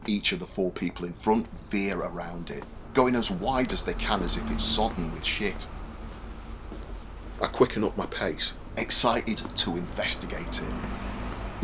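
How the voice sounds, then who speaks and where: A man's voice: deep voice, sharp tone, a little hiss or hum. An actor playing a young man who tells his own story in a confiding, wry radio drama monologue. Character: young man narrating his walk home, wry and anxious. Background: music behind the voice.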